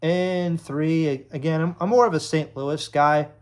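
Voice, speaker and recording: A man's voice: fairly high pitch, steady tone, unhurried, darkish tone. A young man from Illinois chatting casually to camera, rambling with lots of fillers and restarts. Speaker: YouTube sports creator.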